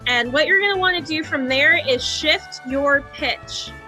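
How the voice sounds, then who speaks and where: A woman's voice: high voice, unhurried, bright, forceful tone, soft background music. A woman hosting a video editing tutorial in a casual, chatty, informal way.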